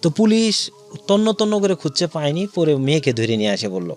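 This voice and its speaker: A man's voice: a little high, very animated, a little fast, a little mellow, faint music behind. A man with a Bangladeshi accent tells his own life story as an interview guest, in detail and with quoted dialogue.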